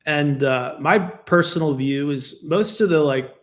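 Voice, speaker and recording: A man's voice: on the high side, measured pace. A man from the United States giving a defensive, argumentative solo commentary, talking casually and laughing between points. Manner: conversational with fillers and restarts. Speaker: political commentator.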